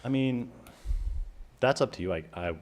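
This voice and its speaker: A man's voice: mid-range voice, animated, moderate pace. A city staff man explaining a program update at a council meeting, casual and hedging. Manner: casual, hedging with "you know". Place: council chamber.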